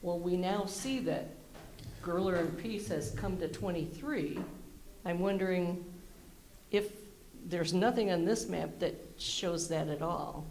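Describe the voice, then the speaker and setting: A woman resident speaking loudly and directly at a public planning meeting, with a gravelly voice. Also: lowish voice, measured pace, a little hiss or hum, rough recording. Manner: loud, direct, chatty. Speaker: local resident commenting. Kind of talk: public comment at open house.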